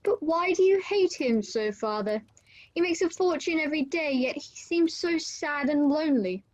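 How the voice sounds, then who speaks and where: A caring young family member acted by a woman talks with earnest sympathy in a radio play. The voice is high, and the recording is a little dull, as over a video call. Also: animated, moderate pace. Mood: puzzled. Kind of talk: amateur radio play acting.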